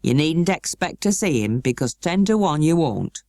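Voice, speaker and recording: A woman's voice: low voice, big pitch swings, normal speed, mellow, no room sound, clean studio sound. A woman voicing a blunt, stern middle-aged housekeeper who gives orders in a matter-of-fact, scolding way, for a storybook. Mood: stern, bossy.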